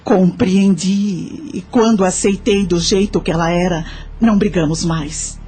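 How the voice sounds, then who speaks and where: A woman's voice: fairly low pitch, steady tone, measured pace, warm tone, a little hiss or hum, lightly acted. A Brazilian woman playing an older, sorrowful widowed mother who tells her life story in an audio drama. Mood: reflective. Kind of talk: audio drama first-person narration. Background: music.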